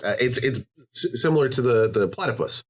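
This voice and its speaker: A man hosting a casual podcast chat, telling jokey stories in an animated way. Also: mid-range voice, fairly quick. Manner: animated, joking storyteller. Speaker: podcast host.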